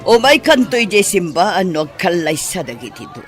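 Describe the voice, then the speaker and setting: A woman's voice: fairly low pitch, sing-song, moderate pace, faint music behind, a faint crowd. A woman playing a scheming, sly mother in a theatrical radio soap opera. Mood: sly, plotting.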